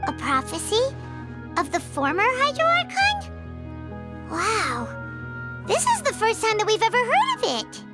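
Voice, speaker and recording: A woman's voice: very high-pitched, huge pitch swings, a little slow, pauses often, loud music behind the voice, exaggerated voice. A woman voicing a tiny, curious, naive fairy companion in a video game, with a childlike, wide-eyed way of talking. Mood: wondering. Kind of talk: scripted game dialogue.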